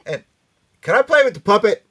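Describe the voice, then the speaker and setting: A man with a somewhat high voice hosts a live podcast. He banters excitably and jokingly. He rambles and often repeats phrases for effect. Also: a little fast. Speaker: podcast host.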